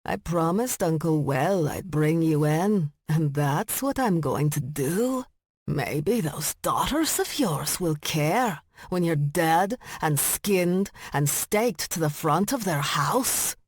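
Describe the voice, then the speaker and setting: Woman acting a menacing Scottish woman, husky. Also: deep voice, animated, takes it slowly, dry recording, exaggerated voice. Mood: gloating menace. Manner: taunting, threatening, gloating. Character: menacing Scottish woman, a gloating kidnapper tormenting her uncle.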